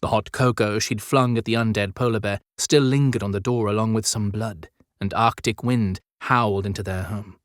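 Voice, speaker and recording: A man narrating an audiobook story in a measured, descriptive storytelling style. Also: on the low side, steady tone, dry recording, clean studio sound. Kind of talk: scripted dramatic storytelling narration.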